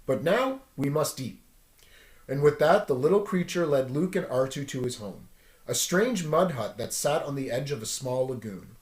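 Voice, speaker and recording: Male storyteller: expressive, wide-ranging intonation, read-aloud delivery, roomy echo. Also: mid-range voice, normal speed. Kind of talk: animated story narration.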